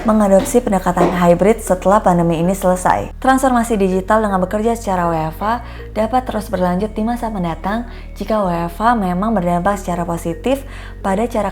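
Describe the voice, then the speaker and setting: A woman presenting an explainer segment, reading her script in an upbeat tone. Her voice sits at an ordinary middle pitch. Faint music plays underneath her. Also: darkish tone. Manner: upbeat, mixes English phrases. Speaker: host.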